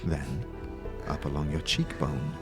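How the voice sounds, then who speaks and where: A man narrating a fantasy audio drama as game master, addressing the listener in the second person with measured, deliberate, dramatic phrasing. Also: very deep voice, steady tone. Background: music.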